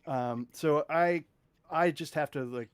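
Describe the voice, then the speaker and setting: A man's voice: on the high side, slow pace, clear, resonant tone, no echo. A man in his thirties, a guest composer chatting casually and rambling a little as he tells stories, now and then singing snippets. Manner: rambling, animated, sings snippets and acts out quotes. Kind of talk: casual interview chat.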